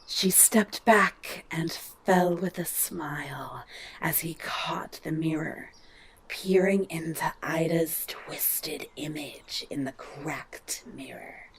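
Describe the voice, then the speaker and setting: A woman narrating a scripted horror drama in a breathy, whispery, gravelly voice. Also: deep voice, slow pace, poor audio.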